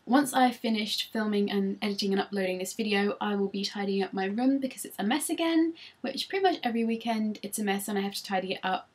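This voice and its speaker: A woman's voice: medium pitch, breathy edge. A woman, chatting casually through tag questions on her vlog with frequent ums, recorded on a laptop. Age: 20s. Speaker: vlogger.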